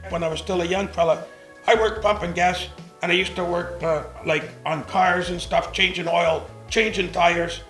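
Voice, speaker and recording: High, bright older man, echoey room. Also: fairly even intonation, moderate pace, soft background music. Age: 70s. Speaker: longtime local resident. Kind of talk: interview recollection.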